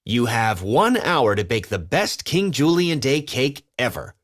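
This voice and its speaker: A man's voice: medium pitch, very animated, a little slow. A man voicing a weary cartoon lemur who serves as a royal adviser and announcer. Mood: announcing.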